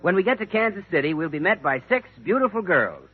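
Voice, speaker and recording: Male 1940s radio announcer, American, keen sales patter, lightly high-set voice, thin vintage broadcast sound. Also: sing-song, a little fast, breathy edge. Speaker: radio announcer and show regular. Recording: tinny, narrow-band vintage radio recording.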